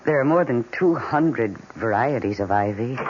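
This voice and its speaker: An actress playing a lonely, fragile housewife who talks to her plants, soft and gentle, in a 1970s radio drama. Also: extremely low pitch, animated, normal speed. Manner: soft, gentle, turns pleading and tearful. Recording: dull 1970s radio broadcast with faint hiss.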